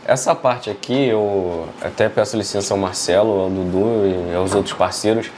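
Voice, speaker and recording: A Brazilian man explaining the meaning of samba lyrics in a measured way, often tagging "né?". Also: fairly low pitch, a little mellow, a little hiss or hum. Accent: Brazilian Portuguese. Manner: measured, explanatory, many "né?" tags.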